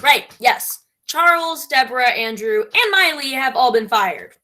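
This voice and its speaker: A woman's voice: high voice, animated, unhurried, bright, forceful tone, heavily acted. A woman playing a pompous, bossy radio playwright who thinks herself a genius, speaking in a grandiose, imperious, emphatic way in a theatrical comedy. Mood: brisk, commanding. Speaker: actress in a stage comedy. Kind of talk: theatrical stage comedy acting.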